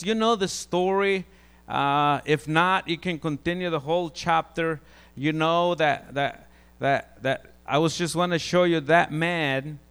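Preacher, male, Guatemalan Spanish accent, gravelly, slightly higher voice, halting delivery with restarts. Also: a bit bright. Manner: halting, repeats words, restarts often. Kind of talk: informal testimony sermon.

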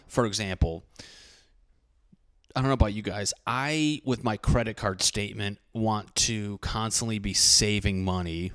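A man's voice: lowish voice, fairly bright tone, no echo, clean studio sound. A man in his thirties hosting a podcast alone, rambling casually with lots of swearing and self-deprecating jokes. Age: 30s. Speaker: podcast host. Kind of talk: casual solo podcast monologue.

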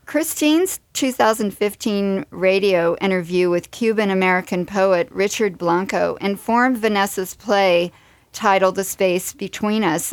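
A woman's voice: mid-range voice, no echo. A woman hosting a radio show from a studio, introducing segments and interviewing guests.

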